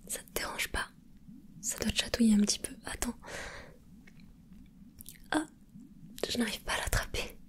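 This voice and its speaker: A woman voicing a gentle, caring mermaid in an ASMR roleplay, whispering softly and reassuringly with a curious, playful touch. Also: on the high side, lightly acted. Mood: soothing whisper. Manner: soft whispering, slow and soothing. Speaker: ASMR creator.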